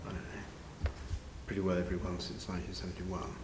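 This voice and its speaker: An older man with a very low voice reminisces in an unscripted interview. His words ring with a long reverb in an echoey hall, over faint noise. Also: monotone, a little fast. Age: 50s or older. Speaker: interviewee, long-time pantomime band member.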